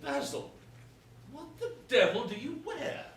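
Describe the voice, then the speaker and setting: A man's voice: very high-pitched, huge pitch swings, unhurried, a loud crowd, full character voice. A man playing a stern, blustering king and father in a staged verse comedy, declaiming. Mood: indignant. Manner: declamatory, indignant. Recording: echoey hall, far mic, faint noise.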